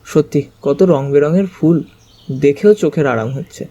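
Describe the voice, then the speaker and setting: High-pitched, mellow male story narrator. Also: moderate pace, a little hiss or hum. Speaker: narrator and detective's companion. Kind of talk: first-person scripted story narration.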